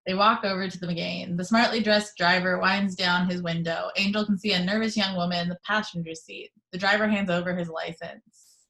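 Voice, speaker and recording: A woman reading the action descriptions at a script table read, in a plain, even manner. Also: lowish voice, a little fast, gravelly, poor audio. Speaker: narrator reading stage directions.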